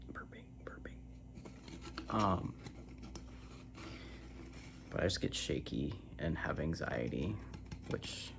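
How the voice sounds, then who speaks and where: A soft-spoken ASMR creator rambling casually and meandering, trailing off toward near-whispers. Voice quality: soft, low, creaky voice. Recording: noisy recording with tapping and humming. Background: hum, tapping and scratching sounds.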